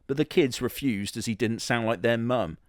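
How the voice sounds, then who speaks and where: A man's voice: medium pitch, moderate pace, no room sound, studio quality. A man telling a crude comic story in a sardonic, matter-of-fact way, swearing casually. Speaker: comedy narrator in character.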